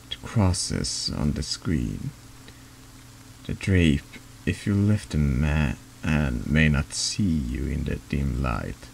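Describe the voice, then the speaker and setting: A man narrates a horror text game in an extremely low voice full of gravelly fry. He reads slowly in an ASMR style, now and then tripping over longer words. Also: darkish tone, a little hiss or hum, poor audio. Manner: halting reading, stumbles over longer words. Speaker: streamer reading a text horror game aloud. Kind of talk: slow scripted narration, soft horror ASMR.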